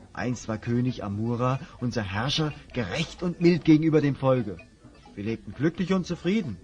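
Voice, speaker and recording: A man's voice: medium pitch, lightly acted. An actor playing a friendly but worried male innkeeper who confides rumours and warnings in a fantasy audio drama.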